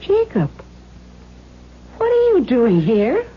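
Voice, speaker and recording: A woman's voice: high voice, huge pitch swings, takes it slowly, husky, muffled recording, faint music behind, heavily acted. An actress playing a practical, concerned younger sister in an old-time radio drama, fussing over her brother. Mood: surprised.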